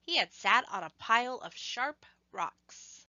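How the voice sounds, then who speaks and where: A woman reading a scripted story to children, with expressive, measured phrasing and emphasis on action words. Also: a little high, no room sound, muffled recording.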